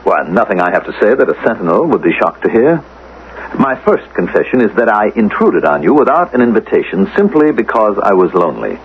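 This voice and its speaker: An actor playing a smooth-talking, inquisitive government agent from the future, coolly polite and insistent in a radio drama. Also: male voice, lowish voice, big pitch swings, brisk. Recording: muffled, hissy old radio recording.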